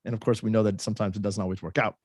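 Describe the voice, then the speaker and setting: A man's voice: on the low side, level tone, racing, darkish tone, dry recording, studio quality. A man hosting a podcast interview, friendly and often stumbling over his words. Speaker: podcast host. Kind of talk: casual interview.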